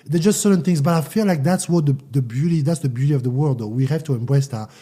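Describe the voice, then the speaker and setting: A man's voice: fairly high pitch, quick pace, dark tone, husky. A man from Central Africa, raised in France, speaking candidly and reflectively as a guest, often restarting his sentences. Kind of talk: casual interview.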